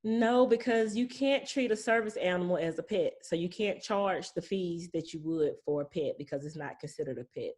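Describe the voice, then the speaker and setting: A woman with a slightly low voice talks as a property manager guest. She explains things in a folksy, plain-spoken way, drawing on stories from experience and voicing bits of what people say. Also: normal speed, hardly any pauses, darkish tone. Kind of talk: conversational expert explanation with anecdotes.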